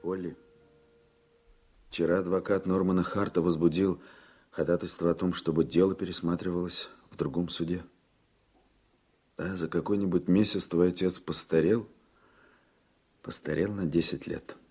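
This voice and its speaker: An actor playing an earnest, troubled man in a radio play, with a husky voice. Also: low-pitched, fairly even intonation, normal speed, pauses often, a little mellow. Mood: grave, concerned. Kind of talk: Soviet-style radio play acting.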